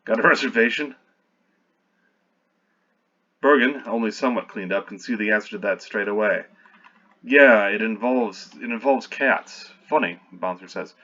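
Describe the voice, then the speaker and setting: Lowish man reading his own screenplay. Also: bright, forceful tone, lo-fi sound. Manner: halting, self-correcting, wry asides. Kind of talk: reading a screenplay aloud with commentary.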